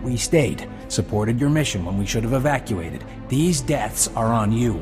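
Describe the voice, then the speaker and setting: An actor playing a stern, bitter intelligence officer in a video game, curt and accusing. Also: male voice, medium pitch, lively intonation, normal speed, music in the background, lightly acted. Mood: angry, accusing. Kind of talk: video game cutscene acting.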